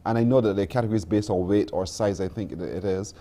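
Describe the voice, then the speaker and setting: A man's voice: lowish voice, fast talker, dark tone. A man hosting a morning TV show, chatty and laughing easily as he asks long, rambling questions. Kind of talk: broadcast interview.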